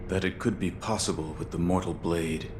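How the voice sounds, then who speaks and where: An actor playing a stoic, loyal shinobi retainer in a video game, terse, grave and deferential. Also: male voice, low voice, fairly even intonation, moderate pace, background noise, natural voice. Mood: grave, restrained. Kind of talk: video game cutscene acting.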